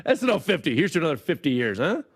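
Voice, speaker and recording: A man co-hosting a casual podcast, trading jokey, animated banter. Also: fairly high pitch, racing, breathy edge. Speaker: podcast co-host.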